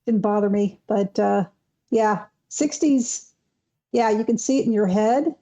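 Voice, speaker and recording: A woman in her thirties co-hosting a podcast, explaining things in a calm, matter-of-fact way in casual chat. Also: medium pitch, measured pace. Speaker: podcast co-host.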